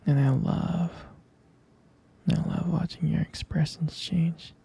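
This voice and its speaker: A tender vampire lover in a romantic ASMR roleplay, murmuring in a husky, very dark whisper full of gravelly fry. The delivery is intimate and adoring, with soft hesitant pauses close to the mic. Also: mid-range voice, steady tone. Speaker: ASMR performer playing a loving partner.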